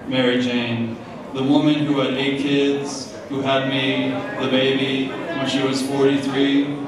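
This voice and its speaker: A young man from New Jersey giving a casual, joking acceptance speech at a gala, full of fillers, heard through the podium mic from the audience. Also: mid-range voice. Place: large hall, on stage at a gala. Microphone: podium mic through speakers, recorded from the audience. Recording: echoey hall from far off, noisy crowd. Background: crowd murmur and room noise.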